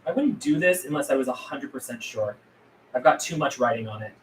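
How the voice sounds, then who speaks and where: A man's voice: mid-range voice, animated, fairly quick, natural voice. A man playing a defiant, world-weary and blunt rebel leader at a script table read. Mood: urgent, determined. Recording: echoey room with background noise.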